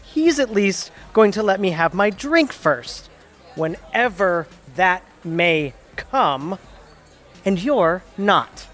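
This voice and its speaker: Casting: young male junior lawyer, audio drama. Flippant, defensive, whiny; very animated intonation, unhurried pace. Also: sits high, heavily acted. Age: young adult. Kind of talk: audio theatre dialogue acting.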